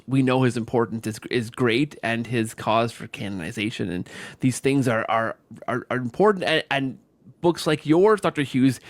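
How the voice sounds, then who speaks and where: A man's voice: mid-range voice, no room sound. A man hosting a conversational podcast interview, effusive and wordy, often rephrasing himself mid-thought. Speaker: podcast host.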